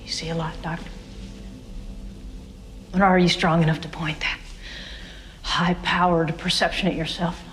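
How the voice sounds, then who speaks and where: Thriller film: ambitious young woman agent, West Virginia accent, guarded defiance, score clearly underneath. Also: on the low side, flat delivery, unhurried, pauses often, fairly bright tone, faint music behind. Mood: defiant, tense. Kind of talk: psychological thriller film dialogue.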